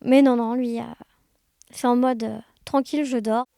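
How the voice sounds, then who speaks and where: A woman's voice: a little high, steady tone, moderate pace, dark tone, dry recording. A woman answers in a casual interview. She talks informally and with animation, in a very dry recording. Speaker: interview guest, amateur audio fiction maker.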